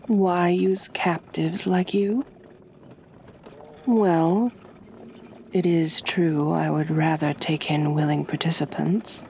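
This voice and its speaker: Aristocratic female vampire mistress played by a woman, ASMR roleplay. Unhurried, gently reassuring, slightly low register. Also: fairly even intonation, creaky, slight background noise, full character voice. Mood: gentle, reassuring. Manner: gentle, measured, genteel, reassuring. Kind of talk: ASMR roleplay, soft soothing delivery.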